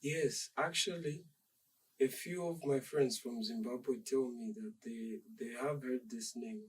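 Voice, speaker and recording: Measured, polite young man from Zambia. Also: medium pitch, slightly rough, far from the mic, low-quality recording. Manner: measured, reflective, polite with "sir". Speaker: dancer guest. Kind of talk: interview conversation.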